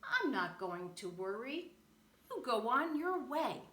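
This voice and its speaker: A resolute male storybook hero acted out by a woman reader speaks with firm emphasis. The voice is high and bright, measured in pace, with room echo around it. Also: very animated, heavily acted. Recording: roomy echo, faint background noise.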